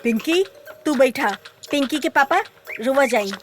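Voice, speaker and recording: A strict cartoon tuition teacher with a high voice and Bhojpuri accent. She is bossy and affected, a two-faced character. Music runs behind her. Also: lively intonation, unhurried. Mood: stern. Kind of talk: animated cartoon dialogue.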